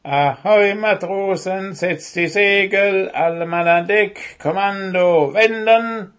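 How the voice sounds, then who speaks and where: A man's voice: high-pitched, slow, a bit bright, smooth, voice pushed up. A man voicing a sleepy old male owl who barks ship commands in his sleep. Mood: commanding. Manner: commanding, drowsy mumbling.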